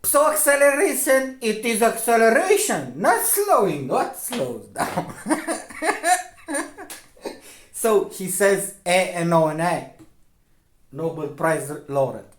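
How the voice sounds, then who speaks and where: Slow, insistent man with a Romanian accent. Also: high-pitched, sing-song, reverberant, slight background noise. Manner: insistent, repeats "okay?", hesitant fillers. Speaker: video commentator. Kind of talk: lecturing commentary.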